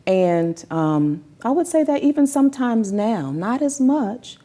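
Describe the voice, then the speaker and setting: A woman's voice: a little high, sing-song, unhurried, mellow. A woman speaking as an interview guest, telling her own story in an earnest, emphatic way and repeating phrases for emphasis. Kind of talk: conversational interview, personal storytelling.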